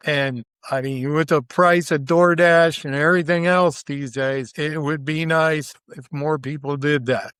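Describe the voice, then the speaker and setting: A man's voice: a little high, fairly bright tone, clean tone, no echo, clean studio sound. A man chatting casually as an interview guest, with a folksy, colloquial, enthusiastic manner. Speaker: interview guest, barbecue cook.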